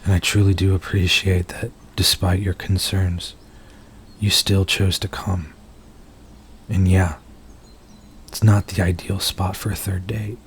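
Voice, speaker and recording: Man acting as anxious male werewolf, deep-ish voice, crackly fry, halting, sincere, intimate ASMR roleplay monologue. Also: little pitch movement. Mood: apologetic, earnest. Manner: soft-spoken, hesitant, halting, earnest. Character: nervous, earnest male werewolf confessing to his date.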